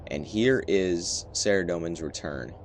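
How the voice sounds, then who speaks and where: Low-voiced man rambling through gameplay commentary. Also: unhurried, slight background noise. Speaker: gaming video host. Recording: faint music behind.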